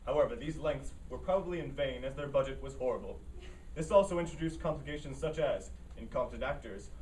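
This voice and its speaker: A matter-of-fact play narrator read by a man with a fairly high voice, delivering scripted stage directions. He sounds some way from the mic, with faint noise around him. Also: a little mellow, far from the mic, lightly acted, low-quality recording.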